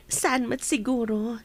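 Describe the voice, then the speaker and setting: A gentle young woman in love, with a distinctly high, girlish pitch. She speaks in Ilocano soap-opera style for radio. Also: no room sound, exaggerated voice. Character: young woman sweetheart being proposed to, gentle. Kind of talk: Ilocano radio drama acting.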